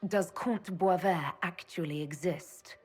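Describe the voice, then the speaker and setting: An actress playing a direct, commanding woman leader in a video game, plain-spoken with a husky voice. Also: on the low side, slow, soft background music. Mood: questioning. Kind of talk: video game dramatic acting.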